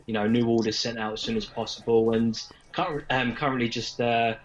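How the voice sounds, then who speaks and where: A man's voice: mid-range voice, steady tone, a little fast. A British man, a band member, chatting casually as an interviewee on a remote call. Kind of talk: casual chat. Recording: slightly dull remote call audio.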